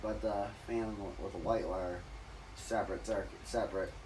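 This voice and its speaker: A man with a raspy voice rambling through a casual, off-the-cuff demonstration, correcting himself and losing his train of thought. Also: lowish voice, steady tone, moderate pace, distant mic, noisy background. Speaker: ceiling fan collector demonstrating a fan. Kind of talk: casual off-the-cuff video narration.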